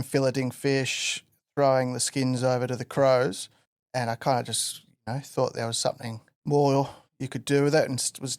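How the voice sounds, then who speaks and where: A man's voice: mid-range voice, dry recording, clean studio sound. A man from Western Australia, a guest artist telling his story in a casual podcast interview, loose and full of fillers. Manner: relaxed rambling storyteller with many fillers.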